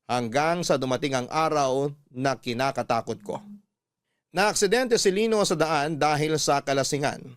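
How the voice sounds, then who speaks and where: A man with a Filipino accent narrating a scripted first-person story for a radio drama in an earnest, measured way with dramatic pauses. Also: on the high side, clear, resonant tone, no room sound, clean studio sound.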